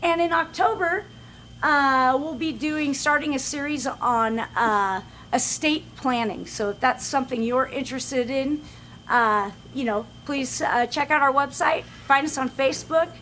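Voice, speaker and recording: High-pitched woman from a youth club, chatty delivery, faint room noise. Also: a little slow, a bit bright, rough recording. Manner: chatty, filler-heavy. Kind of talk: community announcement.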